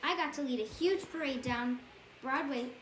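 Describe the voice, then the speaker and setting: A woman's voice: sits high, moderate pace, fairly bright tone. A woman reading a bedtime story aloud, stumbling a little over words and correcting herself. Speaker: storybook reader. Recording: echoey room with faint background noise.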